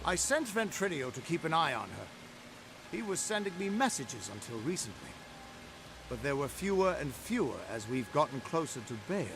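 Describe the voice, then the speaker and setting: An actor playing a battle-weary rebel leader in a fantasy game, earnest and commanding, with emphatic delivery. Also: male voice, fairly high pitch, background noise. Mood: tense, frustrated. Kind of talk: dramatic video game dialogue. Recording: music under the dialogue.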